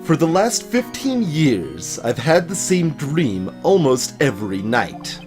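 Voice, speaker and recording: A man voicing a haunted, solemn game character confessing a dark memory, grave, halting and dramatic. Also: a little high, sing-song, faint music behind. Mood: haunted, hesitant. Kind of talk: dramatic voiced reading of game dialogue.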